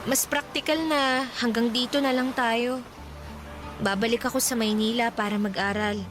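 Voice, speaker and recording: A woman's voice: fairly high pitch, steady tone, moderate pace, music in the background, natural voice. A woman playing an earnest, practical young schoolgirl in a romantic radio drama, caught in her first puppy love. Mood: gently resigned.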